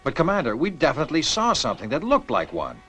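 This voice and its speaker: An actor playing a confident, easygoing spaceship pilot in a 1960s puppet series. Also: male voice, a little high, huge pitch swings, fast, a bit bright, soft background music. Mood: insistent. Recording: dull, muffled vintage TV soundtrack.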